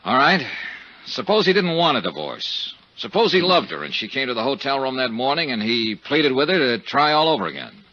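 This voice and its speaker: Hard-boiled, forceful radio investigator. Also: male voice, medium pitch, sing-song, a little fast. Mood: probing, intent. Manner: sardonic, probing, hard-boiled. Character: tough, shrewd male insurance investigator. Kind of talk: 1950s radio drama acting and first-person narration. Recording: dull 1950s radio recording.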